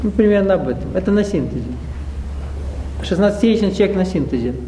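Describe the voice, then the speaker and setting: A man with a high, warm voice teaches a spiritual seminar to a live audience. He talks conversationally, asking the room questions and slipping in wry asides. The sound carries the echo of a roomy hall. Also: expressive, quick pace, a little hiss or hum.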